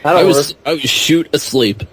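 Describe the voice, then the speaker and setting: A man's voice: on the high side, moderate pace, noisy background. A man co-hosting a podcast, chatting casually and joking with loose, profane language. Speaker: podcast co-host. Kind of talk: casual wrestling chat.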